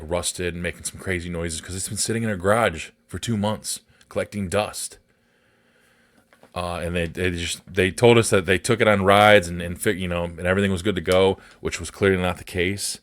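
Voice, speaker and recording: American male podcast host, low bassy voice, close dry sound, blunt and sweary unscripted rant. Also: no echo. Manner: blunt, profane, indignant.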